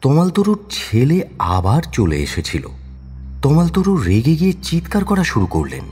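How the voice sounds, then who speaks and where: A man's voice: fairly high pitch, wildly swooping pitch, unhurried, darkish tone, breathy edge, soft background music. A man narrating a scripted crime story in a dramatic storytelling style.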